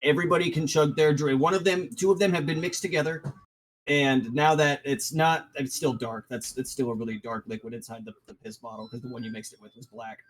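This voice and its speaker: A man acting as dungeon master, explaining rules and scenes in a loose, halting way. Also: medium pitch, steady tone, low-quality recording. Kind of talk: casual tabletop game narration.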